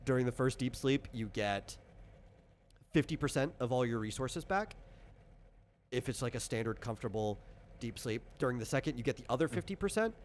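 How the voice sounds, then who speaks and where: A man's voice: medium pitch, animated, fairly quick, a little hiss or hum. A man casually explaining tabletop game rules as designer and game master, talking informally with examples.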